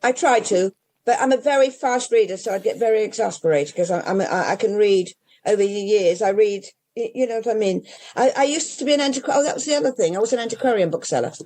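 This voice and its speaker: A woman's voice: mid-range voice, fast, mellow. An older English woman who runs a bookshop, chatting in an interview and rambling through restarts and asides. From England. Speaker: independent bookshop owner. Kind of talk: casual interview chat.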